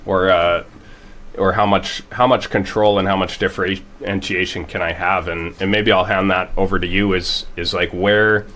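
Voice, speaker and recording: A man's voice: low-pitched, level tone, moderate pace, fairly bright tone. A man hosting an interview, talking hesitantly with many restarts as he works toward a question. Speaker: host. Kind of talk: interview conversation.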